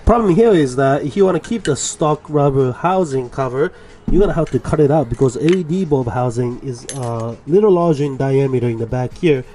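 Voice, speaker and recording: A man's voice: mid-range voice, dark tone. A young man with a stuffy nose from a cold, chatting casually as he explains a motorcycle install step by step in his garage. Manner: chatty, explains steps as he works. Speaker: motorcycle vlogger. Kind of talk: casual how-to vlog. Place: home garage.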